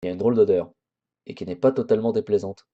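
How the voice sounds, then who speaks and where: A man's voice: fairly low pitch, very fast, dark tone, dull audio, close to an everyday voice. A man reading the inner narration of a young male protagonist from a visual novel. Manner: plain reading of game text.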